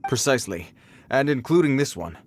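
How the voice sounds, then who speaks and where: An actor playing an earnest, well-meaning patrol guard in a video game, formal and somewhat awkward. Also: male voice, medium pitch, animated, fairly quick, a little hiss or hum, lightly acted. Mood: stern warning. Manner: formal, hesitant.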